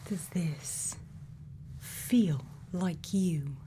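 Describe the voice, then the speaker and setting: Slow, whispery ASMR woman. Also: on the low side, slight background noise. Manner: slow, hushed, deliberate, with thoughtful pauses. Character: gentle, caring female scientist tending a robot. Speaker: ASMR creator. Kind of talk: ASMR roleplay, softly spoken and whispering.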